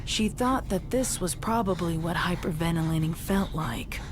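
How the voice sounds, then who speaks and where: A woman reading a dark story as dramatic scripted narration. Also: lowish voice, normal speed. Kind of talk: dramatic scripted narration of horror fan fiction. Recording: clear music behind the voice. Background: music.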